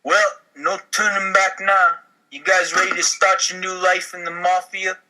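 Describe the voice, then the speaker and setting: A man's voice: high voice, little pitch movement, moderate pace, pushed and bright, rough recording. A man reading parts in a playful comedy table read. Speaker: podcast cast member reading parts.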